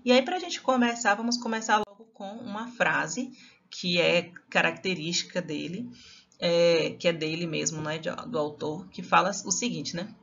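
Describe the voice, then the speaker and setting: A woman's voice: lowish voice, normal speed, fairly bright tone. Bahian woman teacher, chatty lecturing style with constant tag questions; muffled, lo-fi recording. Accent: Bahian Brazilian Portuguese. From Salvador, Bahia, Brazil. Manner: conversational, frequent tag questions and restarts.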